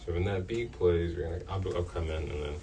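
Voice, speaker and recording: A man's voice: low-pitched, fast talker. A man hosting a live interview on student radio. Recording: distant mic with faint noise.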